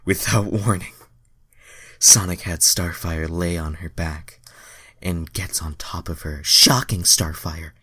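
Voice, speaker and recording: A man's voice: sits low, steady tone, slow, bright, forceful tone, slightly rough, no room sound. A man reading fan fiction aloud as an amateur narrator, breaking into irreverent, self-deprecating asides.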